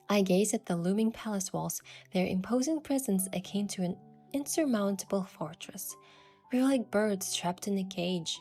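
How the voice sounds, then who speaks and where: A woman voicing a curious young woman posing as a princess, narrating her own story softly in a measured, wistful way. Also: on the high side. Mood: soft, descriptive. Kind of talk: scripted first-person story narration with acted dialogue.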